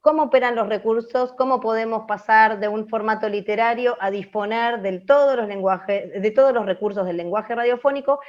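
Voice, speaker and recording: A woman with an Argentine accent teaching an open class, explaining off the cuff and often pausing to check that listeners follow. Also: a little high. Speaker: radio drama teacher. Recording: dull, muffled audio.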